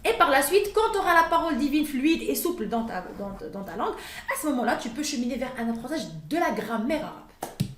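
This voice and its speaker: A woman's voice: high voice, very animated, a little fast. A woman who teaches the Quran, with Arabic as her first language, talking in an interview, hesitant with many fillers and restarts. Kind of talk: casual interview talk. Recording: echoey room.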